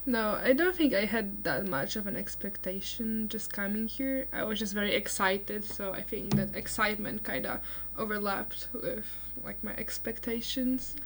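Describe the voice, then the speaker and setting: A woman's voice: mid-range voice, moderate pace, few pauses, noisy background. A young woman chatting casually as a student panelist. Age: young adult. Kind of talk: casual chat.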